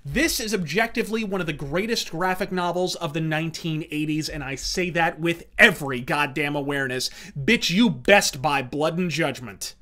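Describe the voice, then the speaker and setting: A man's voice: on the high side, moderate pace, sharp tone, a little hiss or hum. A man delivering scripted, opinionated review commentary in an emphatic, wordy, theatrical and profane way. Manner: profane, brash. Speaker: host of a comics and pulp review series.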